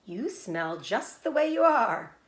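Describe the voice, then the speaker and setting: A woman's voice: on the high side, very animated, slow pace, roomy sound. A woman reading a children's storybook, voicing a rude young lamb in a blunt, taunting manner. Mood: scornful. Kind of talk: children's storybook read-aloud.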